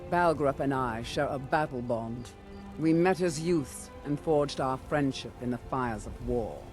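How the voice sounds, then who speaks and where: Man acting slow-spoken, wary veteran bodyguard. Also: medium pitch, faint music behind, natural voice. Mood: proud, guarded. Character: wary, proud veteran bodyguard of a lord, male. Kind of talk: fantasy video game acting.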